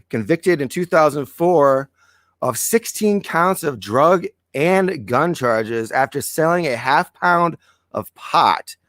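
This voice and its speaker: A man co-hosting a casual political podcast who reads news items aloud, keeps clearing his throat and gives blunt opinions, and whose voice is going hoarse. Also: medium pitch, no room sound, studio quality. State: losing his voice. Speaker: podcast co-host.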